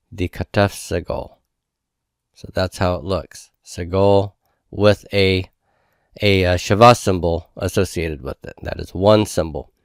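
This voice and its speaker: Male teacher, deep voice, slow deliberate delivery, patient explainer, dry recording. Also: no echo. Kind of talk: homework review lecture.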